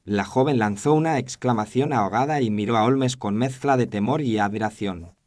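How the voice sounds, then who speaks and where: A man's voice: mid-range voice, moderate pace, a little mellow, dry recording. A man narrating a scripted first-person detective story in a formal, literary storytelling manner.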